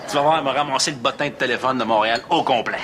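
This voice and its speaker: An actor with a Québécois accent playing a teasing, boastful man who fires off quick sarcastic jokes in a sitcom. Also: mid-range voice, natural voice. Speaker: sitcom character, wisecracking friend. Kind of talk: sitcom acting. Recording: TV sitcom audio with loud noise.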